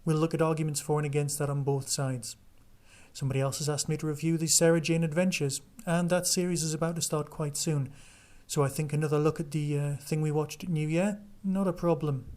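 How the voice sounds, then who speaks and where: A man presents his podcast in a casual, conversational style, talking through news and reviews on his own. His voice sits a little higher than average for a man. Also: fairly even intonation, a little fast, a little mellow. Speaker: podcast host. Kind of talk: casual solo podcast review and listener chat.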